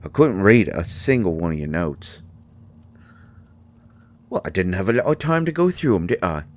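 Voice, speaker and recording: A man playing a tiny, gruff but shy and caring potion-brewing healer in an audio drama, with a mottled British accent and a halting, stammering delivery. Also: deep voice, big pitch swings. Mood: teasing, brusque. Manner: halting, stammering, mumbling asides. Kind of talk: intimate roleplay audio drama monologue.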